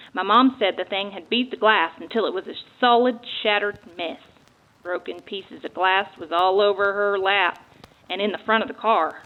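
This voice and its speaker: Woman reading dramatically, thin phone-like sound. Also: medium pitch. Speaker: guest reader. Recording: thin, phone-like narrow band.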